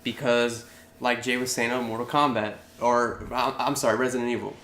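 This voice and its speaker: A man's voice: medium pitch, little pitch movement, moderate pace, a bit bright, slight background noise. A man co-hosting a casual chat about video game movies, rambling with many restarts. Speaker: podcast co-host. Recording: echoey room.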